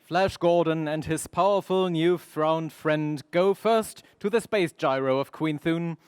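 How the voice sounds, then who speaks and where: Man as a high-pitched dramatic serial narrator. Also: measured pace, darkish tone, clear, resonant tone, dry recording, close to an everyday voice. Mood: suspenseful. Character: dramatic adventure serial announcer. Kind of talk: 1930s radio serial narration.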